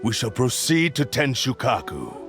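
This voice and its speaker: A man voicing the proud, obsessed spirit of a cursed sword in a video game, gravelly, haughty and theatrical. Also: mid-range voice, wildly swooping pitch, moderate pace, music in the background. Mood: haughty, menacing. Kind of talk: scripted game villain acting.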